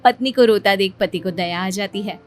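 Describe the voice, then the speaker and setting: A woman's voice: medium pitch, moderate pace. A woman narrating a story in a scripted storytelling style. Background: music.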